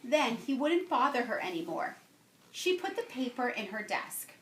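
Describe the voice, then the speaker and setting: Female storyteller for a children's picture book. Higher-pitched, very animated read, recorded in an echoey room. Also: moderate pace, a little hiss or hum. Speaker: teacher reading a picture book aloud. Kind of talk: expressive children's read-aloud. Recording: roomy, echoey home-style recording.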